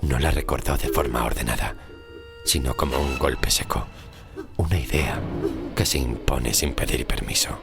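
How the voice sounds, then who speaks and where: A man narrating a scripted horror story in deliberate, suspenseful phrases. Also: a bass voice, vocal fry. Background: loud music.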